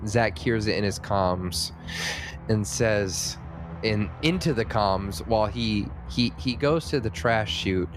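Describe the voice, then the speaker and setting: A man's voice: on the low side, slow pace, background noise. A man playing a tabletop roleplaying game, casually narrating his character's moves and chatting.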